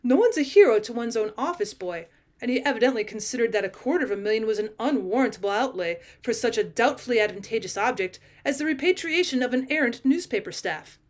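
A woman reading a classic short story aloud as scripted narration, expressive and deliberate, with emphasis on key phrases. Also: a little high. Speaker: teacher reading audiobook.

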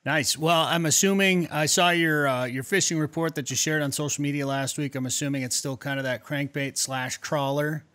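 A man in his forties hosts a relaxed, conversational podcast. His voice sits a little high for a man and is picked up close on a studio mic. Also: normal speed, few pauses. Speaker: podcast host. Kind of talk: casual podcast interviewing. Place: home studio room indoors. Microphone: studio mic with pop filter, headphones.

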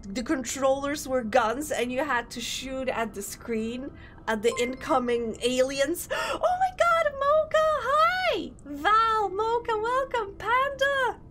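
A woman's voice: high-pitched, big pitch swings, slow, fairly bright tone, slight background noise. A young woman with a Polish accent, a Polish native speaker chatting bubbly on a gaming live stream and greeting viewers. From Poland. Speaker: VTuber streamer. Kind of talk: casual live-stream chat while gaming.

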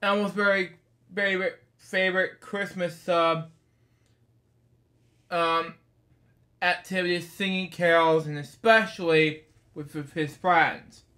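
A man's voice: high-pitched, steady tone, slow pace, long pauses, sharp tone. A man in his thirties reading a picture book aloud in a small bedroom, rambling with casual asides and stock phrases.

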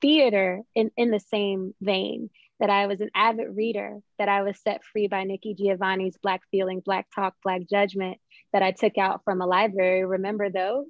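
A young adult woman telling personal stories in a reflective way, with thoughtful, measured phrasing. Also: medium pitch, level tone, dry recording. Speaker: guest playwright and poet. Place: small room at home, on a video call. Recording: dull, muffled video-call audio.

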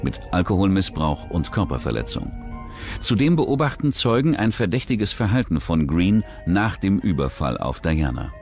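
Male documentary narrator, deep register, expressive intonation, scripted crime storytelling. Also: normal speed. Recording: music bed under narration. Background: music.

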